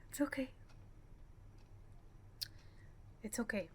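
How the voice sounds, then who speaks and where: A woman's voice: fairly high pitch, expressive, racing, a little hiss or hum, natural voice. A woman acting a grieving young wife in a radio play, fragile and anxious. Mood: quiet, uneasy.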